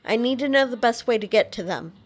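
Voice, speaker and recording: A woman's voice: fairly high pitch, expressive, brisk. A young woman voicing her game character, asking questions hesitantly with fillers. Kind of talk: casual game voice-acting.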